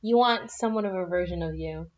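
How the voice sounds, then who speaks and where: A woman's voice: fairly low pitch, quick pace, muffled recording. A woman in her mid twenties chatting casually as a podcast co-host, teasing and dry, firing pointed questions. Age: 20s. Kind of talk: casual chat.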